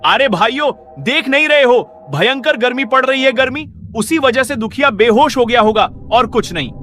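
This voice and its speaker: A man's voice: extremely high pitch, lively intonation, normal speed, bright, forceful tone, faint music behind, heavily acted. A man voicing a concerned village man, exclamatory, in a cartoon story. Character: concerned, dismissive high-voiced village man.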